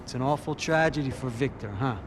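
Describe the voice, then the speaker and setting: An actor playing a shrewd police officer in a video game, with a sardonic, probing manner. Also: male voice, medium pitch, brisk, close to an everyday voice. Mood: cynical, explaining. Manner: probing, sardonic, rhetorical questions. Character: shrewd, cynical Brazilian police officer, goading and knowing. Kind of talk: video game cutscene dialogue. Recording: noisy, with car and street ambience.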